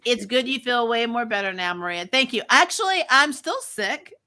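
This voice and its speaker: A woman's voice: on the high side, animated, normal speed, pushed and bright. A woman chatting casually and sardonically as co-host of a TV review, often giggly and salty-tongued. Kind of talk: casual chat, fan review banter.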